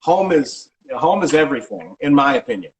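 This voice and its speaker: A middle-aged man, a real estate agent, answering questions in an ordinary mid-range voice. He talks haltingly, often restarting a phrase and filling the gaps with ums. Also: mid-range voice, big pitch swings, poor audio. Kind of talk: casual interview answers.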